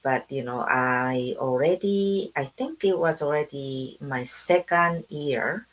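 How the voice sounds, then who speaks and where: Low-voiced middle-aged woman from Japan. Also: slow. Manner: soft-spoken, laughs often, simple phrasing. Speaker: interview guest, online business owner. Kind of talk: casual video interview.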